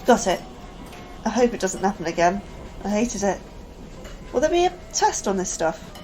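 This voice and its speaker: A woman's voice: medium pitch, very animated, normal speed, darkish tone, soft background music, lightly acted, poor audio. An actress playing an anxious, apologetic woman scientist in a sci-fi audio drama, hesitant and earnest. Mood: uneasy. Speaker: actor in a sci-fi horror fiction podcast.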